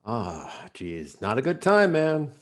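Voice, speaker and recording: Man playing casual robber, distracted, offhand, very lively pitch, comic stage-play reading. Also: slow. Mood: harried. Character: distracted, casual armed robber juggling a phone call. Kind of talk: live play reading over video call.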